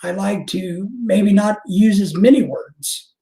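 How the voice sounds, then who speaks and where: Casting: man with a mellow, dark voice, curious co-host, roomy echo. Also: sits high, slow. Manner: curious, asks questions with careful hedging. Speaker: podcast co-host. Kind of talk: casual chat.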